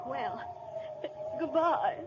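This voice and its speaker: An actress playing a fearful, superstitious country wife with a rural American accent, giving ominous warnings in an old radio comedy-drama. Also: female voice, high-pitched, animated, very slow, very noisy, heavily acted. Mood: resigned. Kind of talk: 1930s-40s radio comedy-drama acting. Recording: dull old radio broadcast.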